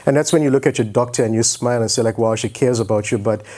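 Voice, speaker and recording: A man's voice: mid-range voice, fast, a little mellow. Jokey man giving a conversational stage talk. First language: English. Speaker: guest speaker telling his own story. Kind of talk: informal motivational talk on stage. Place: stage in an auditorium, indoors. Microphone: handheld microphone.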